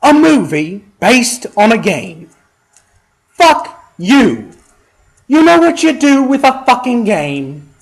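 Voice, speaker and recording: A man rants from a script with theatrical scorn and plenty of profanity. His voice sits high for a man and moves through big swings in pitch. He goes slowly, stretching out words for exasperated emphasis. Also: sing-song, rough recording. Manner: exasperated, emphatic, drawn-out delivery. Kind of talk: profane comedic rant read from a script.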